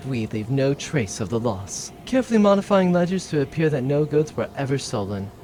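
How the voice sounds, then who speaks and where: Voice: mid-range voice, a little mellow, a little hiss or hum, natural voice. A performer playing a haughty, menacing pirate captain with formal, boastful delivery in a comedic audio drama.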